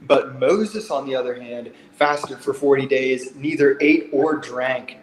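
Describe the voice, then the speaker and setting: A man's voice: mid-range voice, measured pace, poor audio. A man in his late twenties talking casually and earnestly in a livestream conversation. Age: late 20s. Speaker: fitness guest.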